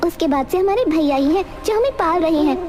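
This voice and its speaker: A woman voicing an eager, chatty young village girl in an animated story. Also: very high-pitched, brisk. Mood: eager, reassuring. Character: eager helpful village girl, a farmer's little sister. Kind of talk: Hindi animated fairy tale acting.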